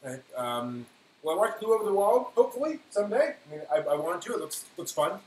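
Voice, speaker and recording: A man's voice: sits high, sing-song, fast talker. A man hosting a casual live stream, chatting in an offhand way as he thinks aloud. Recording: roomy echo, faint hiss.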